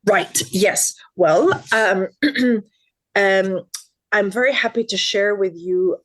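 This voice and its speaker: A woman's voice: mid-range voice, slow, rough recording. A woman in her fifties from Lebanon, a guest expert in a podcast interview, speaking earnestly and repeating words for emphasis.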